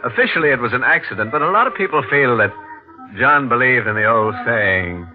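An actor playing a wry, macabre storyteller host, theatrical and tongue-in-cheek, in 1940s radio horror style. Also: male voice, medium pitch, expressive, a little fast, close to an everyday voice. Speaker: host of a mystery anthology. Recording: muffled narrow-band vintage radio recording. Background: music.

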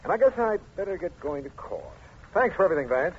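An actor playing an earnest, cordial district attorney, brisk and courteous, in an old radio drama. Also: male voice, sits high, sing-song. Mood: earnest, grateful. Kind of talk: 1950s radio drama acting. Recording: muffled, hissy old radio recording.

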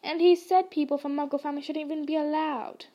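A woman's voice: sits high, fairly even intonation, quick pace, dark tone, close to an everyday voice. Curious, unsure young boy wizard, read by a woman; earnest, questioning.

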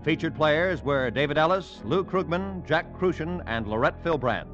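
Old-timey radio announcer guy, bouncy pitch, muffled vintage sound. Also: expressive, moderate pace, music in the background.

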